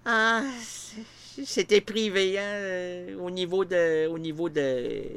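Animated Québécois storyteller man. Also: high-pitched, slow pace, a little hiss or hum. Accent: Québécois French. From Quebec. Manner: animated, jumps between character voices. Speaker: comedian. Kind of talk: comic storytelling monologue.

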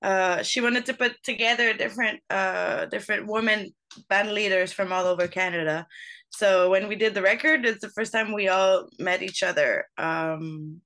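A woman musician talks through her answers in a bright, clear-toned voice. She often stops and restarts her sentences while hunting for the right words, and the pitch sits in a middle range. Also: normal speed. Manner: searching for words, frequent restarts. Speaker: trumpet player guest. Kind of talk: casual interview answers.

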